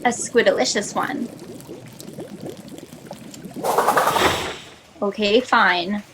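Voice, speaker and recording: A woman's voice: mid-range voice, a little slow, close to an everyday voice. A woman voicing a mischievous shape-shifting spaceship being, blunt and contrary, in a sci-fi satire audio drama. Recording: noisy home video-call audio.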